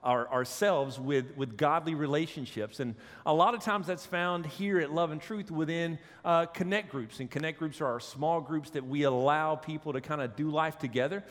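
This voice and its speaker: A man with a head cold delivers a casual, joking sermon in a church sanctuary, his usually higher voice pulled lower. His words ring out with a long hall reverb. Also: normal speed, few pauses, a bit bright. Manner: joking, conversational preaching with audience asides. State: has a cold, voice deeper than usual. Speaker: associate pastor.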